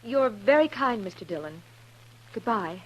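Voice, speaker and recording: A woman's voice: medium pitch, animated, moderate pace, lo-fi sound, close to an everyday voice. An actress playing a trusting, genteel young lady in a 1950s radio western, speaking politely and earnestly. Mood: earnest, hopeful. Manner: polite, soft-mannered, earnest. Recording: noisy 1950s radio recording with hum.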